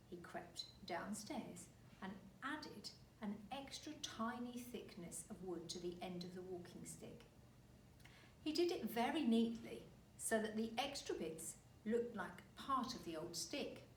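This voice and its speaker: A woman reading a children's story aloud like a teacher, with dramatic, deliberate emphasis. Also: medium pitch, animated. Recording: roomy, distant mic, faint noise.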